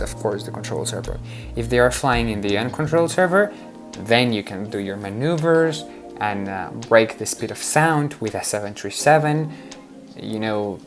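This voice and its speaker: Male tutorial host, medium pitch, informal step-by-step explaining, casual walkthrough delivery, music in the background. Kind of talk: casual screen-recorded app walkthrough.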